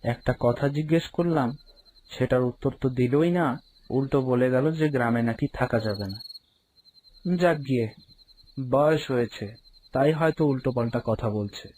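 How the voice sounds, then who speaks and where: A man's voice: mid-range voice, normal speed, long pauses, a little mellow, a little hiss or hum, lightly acted, rough recording. A young man voicing a curious, uneasy villager returning home in a horror cartoon, musing aloud as he tells his own story. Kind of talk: cartoon horror narration and dialogue.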